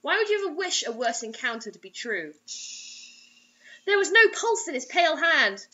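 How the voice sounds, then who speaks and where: A woman's voice: sits high, sing-song, moderate pace, bright, forceful tone. A young woman reading bad fiction aloud in a theatrical, overwrought, mocking way with asides. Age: young adult. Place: small indoor room. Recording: dull lo-fi webcam sound.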